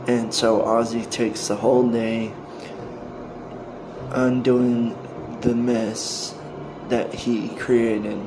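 A man hosting a podcast, introducing episodes in a halting, phrase-by-phrase delivery. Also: mid-range voice, flat delivery. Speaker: podcast host introducing old-time radio shows. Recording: home recording with clear background noise.

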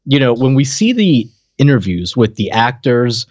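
Lively guy chatting on a podcast, pitch jumps around, stumbly and excitable, dry muffled mic. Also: no echo. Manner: animated, giggly, halting repeats. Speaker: podcast co-host. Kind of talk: casual chat about a comedy show. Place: recording on camera for a video podcast. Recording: dull, close, dry audio.

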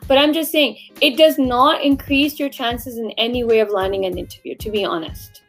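A woman's voice: on the high side, fairly even intonation, normal speed, faint music behind. A woman recruiter co-hosting a livestream career discussion, talking in a blunt, emphatic, conversational way. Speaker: recruiter and show co-host.

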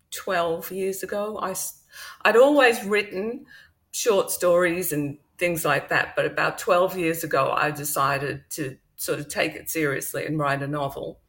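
A woman in her forties with a Welsh-Australian accent, a novelist telling her story in a relaxed, chatty way over a video call. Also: low-pitched. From Wales. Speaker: novelist guest. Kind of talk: remote interview.

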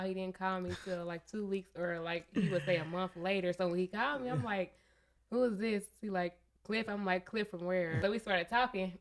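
Twenties woman, guest, mid-range voice, relaxed storyteller. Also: medium pitch. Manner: relaxed, retells conversations in quotes. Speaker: podcast guest. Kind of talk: casual storytelling.

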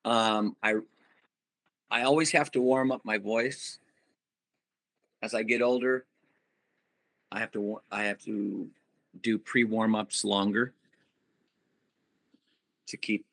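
A middle-aged man, a band singer, giving reflective interview answers and pausing often to find his words. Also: lowish voice, steady tone, gravelly, sharp tone. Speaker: musician guest, band singer.

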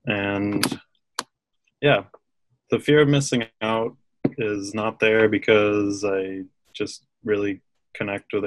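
A man's voice: lowish voice, no room sound, poor audio. A man in casual chat, laid-back and filling with "like". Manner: laid-back, many "like" fillers.

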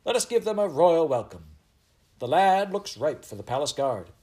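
A man's voice: lowish voice, big pitch swings, normal speed, a little mellow. A man voicing a haughty, vain middle-aged Elizabethan queen with grand, archaic, commanding speech in a storybook reading. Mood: regal, proclaiming.

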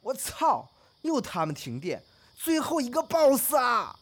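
A woman's voice: on the high side, very animated, measured pace, noisy background. A woman playing a chatty, teasing young male college roommate in an audio drama, casual and pushy. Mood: frustrated. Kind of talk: Chinese online audio drama acting. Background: faint music.

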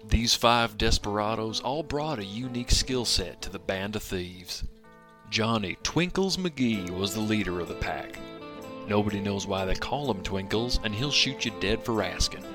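A man as a low-voiced western tale narrator. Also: lively intonation, normal speed, natural voice. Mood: dramatic. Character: gravel-toned male narrator of an outlaw tale. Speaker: narrator of an audio play. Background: guitar music.

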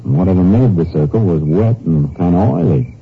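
A low-pitched lawman, terse and matter-of-fact, questioning and reasoning with brisk authority. It is 1950s radio detective acting on a dull, slightly hissy old recording. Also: expressive, vocal fry. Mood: brisk, probing. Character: brisk, observant Texas Ranger investigator.

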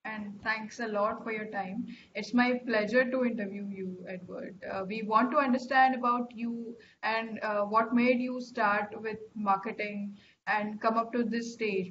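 A woman's voice: mid-range voice, a bit bright, dull audio, a little hiss or hum. A woman hosting an interview, polite and hesitant, with many fillers. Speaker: interview host.

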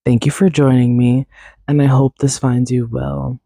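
A young woman rambles through a casual solo podcast, laughing at herself and tossing in plenty of fillers. Her voice is raspy and stuffy from a lingering cold, with a mellow, darker tone. She sounds close to the mic in a dry, dead room. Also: a bass voice, level tone, moderate pace, no echo. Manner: rambling, self-deprecating, many fillers and laughs. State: congested, recovering from a cold. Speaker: podcast host.

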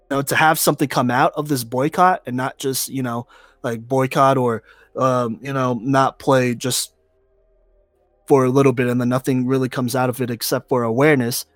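A man's voice: medium pitch, no room sound. A man co-hosting a podcast, talking earnestly about voting and change, with lots of "you know" fillers. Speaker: podcast co-host. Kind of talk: casual opinion talk.

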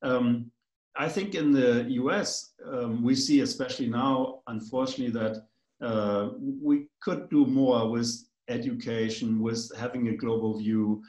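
A man's voice: mid-range voice, fairly even intonation, a little slow, a little mellow, echoey room, poor audio. A man with a German accent, a guest in a casual conversation, talking in a rambling, hesitant way with many fillers.

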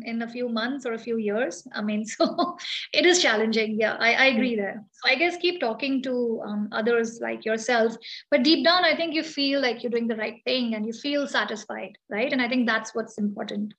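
Woman, interview guest; slightly high voice, hesitant, thinks aloud. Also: moderate pace, a bit bright. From India. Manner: thoughtful, hesitant, many ums and uhs. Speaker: guest, architect and founder of a design collective. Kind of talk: reflective interview answer.

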